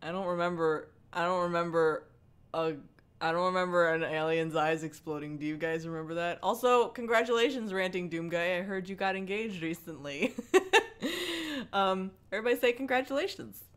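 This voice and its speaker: A woman's voice: fairly low pitch, steady tone, moderate pace, clean tone. A woman hosting a casual livestream, chatting playfully and irreverently, with asides and swearing as she reads aloud. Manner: chatty, often breaks off into asides. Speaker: livestream host reading a book aloud. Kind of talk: casual livestream chat mixed with mocking dramatic read-aloud.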